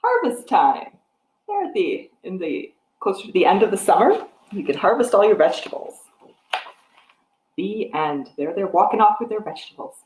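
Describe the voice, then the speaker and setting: A woman's voice: medium pitch, very animated, moderate pace, a little mellow, echoey room, a little hiss or hum, low-quality recording. A middle-aged American woman talking to young children as a storytime librarian, with simple explanations and questions. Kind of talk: picture-book read-aloud and craft talk to young children. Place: room at home with wooden floor and piano. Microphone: device microphone at about arm's length.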